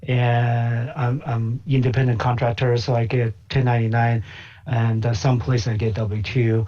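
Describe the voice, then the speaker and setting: A man's voice: mid-range voice, little pitch movement, dark tone. Hesitant man, slightly dull call audio. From China. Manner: hesitant, many filler pauses. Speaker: guest, physician and investor. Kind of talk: interview conversation.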